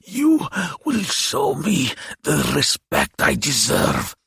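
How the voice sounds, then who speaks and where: A man voicing a frail, dying old demon for an audiobook, proud and menacing, with a croaking, hissing, breathy voice and slow, grandiose delivery. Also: fairly high pitch, huge pitch swings, a bit bright, dry recording, studio quality, voice pushed up, heavily acted. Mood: menacing, demanding. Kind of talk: dramatic audiobook character voice.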